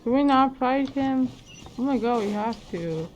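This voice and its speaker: A woman's voice: high-pitched, animated, a little slow. A woman streaming a game, giving casual off-the-cuff commentary on what she is playing. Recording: dull lo-fi mic with faint noise.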